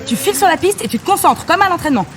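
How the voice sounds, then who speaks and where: Fast, high-voiced woman coach. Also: expressive, soft background music. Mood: urgent, encouraging. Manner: urging, reassuring. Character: brisk, encouraging woman athletics coach, loyal and pragmatic. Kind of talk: audio drama dialogue.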